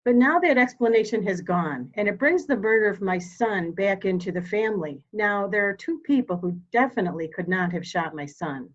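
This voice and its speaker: A woman acting a steely, dying elderly mother in a mystery drama reading, speaking formally and deliberately. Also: medium pitch, expressive, muffled recording, natural voice. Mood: grave, resolute.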